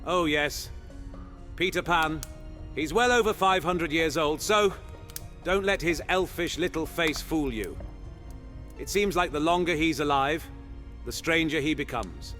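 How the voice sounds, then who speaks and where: A man's voice: fairly high pitch, lively intonation, a little slow, pauses often, fairly bright tone, soft background music. A man playing a chatty, zealous medieval monster hunter in a fantasy audio roleplay, with theatrical, old-fashioned phrasing. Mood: chatty, conspiratorial.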